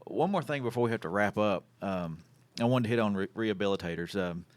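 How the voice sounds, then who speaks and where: A man's voice: lowish voice, expressive, quick pace, no echo. A man hosting a casual radio and podcast chat in a folksy, plain-spoken, informal way. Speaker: show host.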